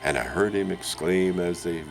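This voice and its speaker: A man playing a jolly old Santa Claus, reciting a Christmas storybook poem theatrically, savoring phrases with long pauses. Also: low voice, vocal fry, soft background music. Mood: merry, dramatic.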